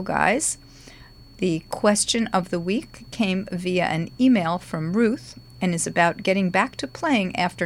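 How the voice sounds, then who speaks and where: A middle-aged woman with a gravelly voice, hosting a guitar lesson podcast in a chatty, warm-hearted way with frequent ums. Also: mid-range voice. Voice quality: gravelly today. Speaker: guitar teacher and podcast host. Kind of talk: casual podcast talk. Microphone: studio microphone.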